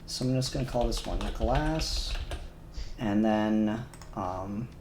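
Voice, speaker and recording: A man's voice: on the low side, takes it slowly, noisy background. A man live-streaming his 3D modelling work, talking casually and muttering to himself, a little self-conscious. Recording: echoey room, faint music behind.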